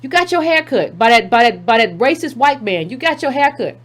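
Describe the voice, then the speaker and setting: A woman's voice: a little high, sing-song, fairly quick. A woman hosting a commentary channel, reading a text aloud and breaking in with emphatic asides, repeating key phrases for effect.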